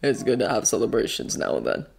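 A woman voicing a fond, teasing male guardian in a story, with a dry, low-key manner. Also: very animated, fast, vocal fry, a little mellow, slightly rough, exaggerated voice. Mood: reassuring.

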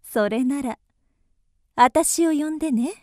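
An actress in a radio drama playing a forward young geisha, coy and probing, with old-fashioned feminine speech. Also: female voice, sits high, unhurried, warm tone, dry recording, studio quality. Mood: teasing, forward.

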